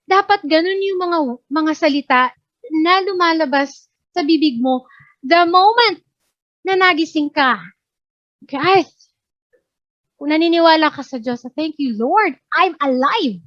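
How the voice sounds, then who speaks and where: A woman's voice: extremely high pitch, measured pace, pauses often, fairly bright tone, no room sound, highs cut off. A woman trainer with a Filipino English accent, chatting casually as she teaches, mixing Tagalog and English, often checking that she's understood. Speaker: workplace communication trainer. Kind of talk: casual instructive training talk.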